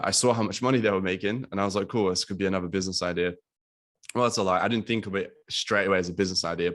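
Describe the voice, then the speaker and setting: A young man from Latvia talks loosely over a video call, his voice low. He fills his speech with ums and likes and swears casually. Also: fairly bright tone. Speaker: guest business coach.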